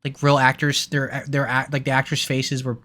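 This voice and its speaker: A man's voice: mid-range voice, monotone, moderate pace, pushed and bright. A man co-hosting a gaming podcast, chatting casually and enthusiastically with stumbling restarts. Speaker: podcast co-host. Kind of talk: casual chat.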